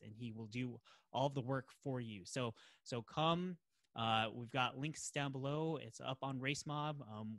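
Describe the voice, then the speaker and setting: Man, podcast host, bright voice, enthusiastic, emphatic, casual promotional talk, dry sound. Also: medium pitch, no room sound.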